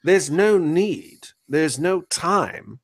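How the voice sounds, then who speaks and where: A man playing an excitable, self-assured gentleman detective in comic old-time radio style, theatrical and emphatic. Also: fairly high pitch, extremely slow, full character voice. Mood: urgent, exasperated.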